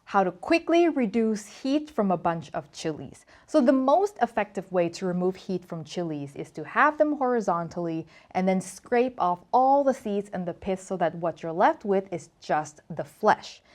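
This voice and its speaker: A woman's voice: medium pitch, very animated, moderate pace, few pauses, darkish tone. A woman from Thailand hosting a casual cooking tutorial, talking to camera in a chatty, playful, emphatic way. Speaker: cooking show host.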